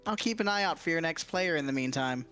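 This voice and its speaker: A woman's voice: low-pitched, expressive, fast, a bit bright. A woman voicing a brash, teasing sidekick character in a visual novel, over music.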